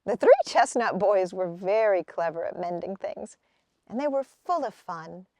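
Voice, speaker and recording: A woman's voice: medium pitch, lively intonation, unhurried, darkish tone, dry recording. Woman storyteller, dry and close sound. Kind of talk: picture book read aloud for children.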